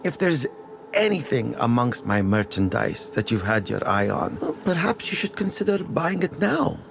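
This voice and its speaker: An actor playing a sly, self-deprecating merchant in a video game, talking in an ingratiating, hesitant way. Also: male voice, mid-range voice, big pitch swings, normal speed, vocal fry, noisy background. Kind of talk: video game dramatic acting.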